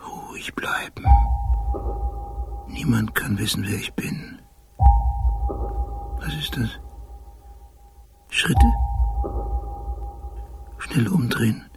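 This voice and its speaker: An actor playing an obsessive, haunted man recounting memories and thoughts in terse, often hushed phrases in a radio drama. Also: medium pitch, drawn-out words, gravelly, pauses often, whispered. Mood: hushed, tense. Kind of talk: radio drama interior monologue. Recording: radio play with prominent music underneath. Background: loud music.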